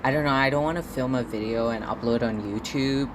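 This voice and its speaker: A man's voice: medium pitch, fairly quick, noisy background. A young man from Singapore, talking alone in a casual podcast diary at home, ranting and swearing with stammered repeats. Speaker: podcast host.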